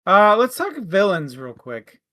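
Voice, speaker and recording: A man with a fairly high, bright, edgy voice chats about a book, quick to joke. The sound is close and dry, with no room echo. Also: huge pitch swings. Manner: laughs easily, playful asides. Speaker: book discussion co-host. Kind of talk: casual chat, reading viewer comments.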